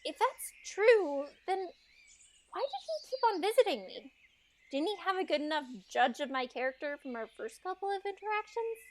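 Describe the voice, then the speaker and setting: A woman playing an outspoken young fairytale heroine in an amateur audio drama, with an expressive, emphatic way of talking. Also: very high-pitched, moderate pace. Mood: incredulous, indignant.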